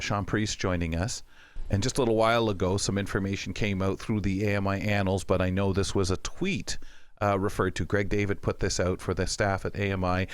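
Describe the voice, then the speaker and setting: A man's voice: on the low side, a bit bright, no echo. Man hosting a talk show, dry sound. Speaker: radio show host. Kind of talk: casual radio talk show chat.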